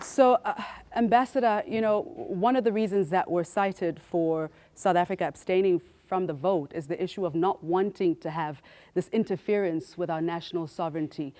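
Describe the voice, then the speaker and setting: A woman hosting a televised studio debate, introducing guests and putting questions to them in a brisk way. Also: fairly low pitch, warm tone. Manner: brisk, leads applause. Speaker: debate host. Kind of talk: TV studio moderating.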